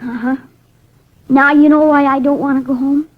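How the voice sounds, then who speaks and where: A woman's voice: high voice, little pitch movement, normal speed, natural voice. A woman playing a guarded, plaintive, streetwise nine-year-old runaway boy in a 1950s radio drama. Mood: wary, streetwise. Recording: muffled vintage 1950s radio recording.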